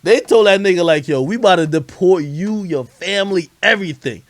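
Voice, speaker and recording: A man chatting casually on a livestream as host, telling stories in an animated, slangy way and swearing freely. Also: high-pitched, fairly quick, no room sound. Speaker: livestream host commenting on hip hop. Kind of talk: casual livestream chat, storytelling.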